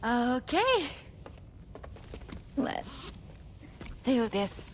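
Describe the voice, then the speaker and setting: An actress playing a gentle, hopeful young woman in a video game cutscene, speaking in soft, tentative, sparse phrases. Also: high-pitched, big pitch swings, very slow, a little hiss or hum, heavily acted.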